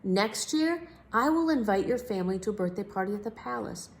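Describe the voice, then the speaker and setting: A woman voicing a polite, easily impressed king in a children's storybook, earnest and expressive. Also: medium pitch, a little fast, voice pushed up, natural voice.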